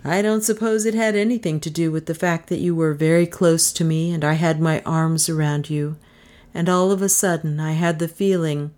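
A woman's voice: deep voice, fairly even intonation, moderate pace, darkish tone, lightly acted. A woman voicing a stubborn, wry man, grumbling but sentimental, in dry, argumentative audiobook dialogue.

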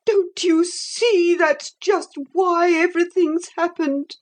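Woman doing a shrill, scornful ex-servant woman. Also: lively intonation, unhurried, a little mellow. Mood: tearful fury. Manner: scornful, fierce, tearful outbursts. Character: scornful, resentful female former servant, bitter and defiant.

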